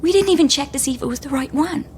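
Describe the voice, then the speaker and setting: A woman playing a shy, anxious young honeymooning wife in an audio drama, fretting in a hushed, breathy, naturalistic way. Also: high voice, very fast, slight background noise, close to an everyday voice.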